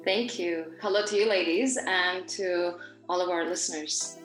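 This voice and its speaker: A woman from Iran who grew up in Dubai, speaking reflectively and haltingly as an interview guest, often rephrasing herself. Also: fairly low pitch, soft background music. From born in Iran, grew up in Dubai. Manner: halting, frequent pauses and self-corrections. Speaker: podcast guest, naturopathic doctor. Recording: echoey room.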